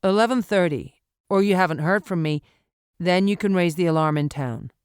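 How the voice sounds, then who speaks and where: Woman reading as a steady, resolute mountain man. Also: fairly low pitch, moderate pace, clear, resonant tone, dry recording, studio quality, natural voice. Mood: reassuring. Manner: steady, reassuring, determined. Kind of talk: audiobook character acting.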